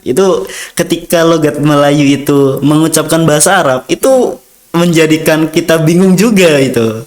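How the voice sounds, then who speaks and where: A man's voice: on the high side, moderate pace, darkish tone. A young man with an Indonesian accent, a student guest telling his experiences in a casual interview, rambling with many fillers and acting out dialogue. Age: young adult.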